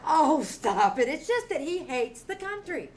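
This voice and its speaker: A woman's voice: high-pitched, big pitch swings, a little slow, darkish tone. A woman playing a sweet, fretful, genteel wife in a live old-time radio comedy. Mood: fond, amused. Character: sweet, fretful wife chatting fondly with her maid. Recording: live stage with faint room noise.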